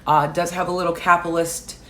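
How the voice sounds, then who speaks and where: Woman, political commentator, loud, emphatic, lower voice, faint background hiss. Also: flat delivery, fast talker. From Alaska, raised in Hawaii. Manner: loud, emphatic, rising to near shouting on key points. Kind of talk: impassioned commentary reading viewer comments.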